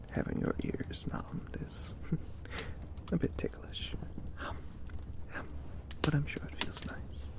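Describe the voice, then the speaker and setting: A man voicing a gentle, courtly vampire catboy in a breathy, soothing ASMR roleplay, formal in his wording. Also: medium pitch. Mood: soothing, amused. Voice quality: breathy whisper. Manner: slow, hushed, formal and soothing. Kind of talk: soft whispered ASMR roleplay.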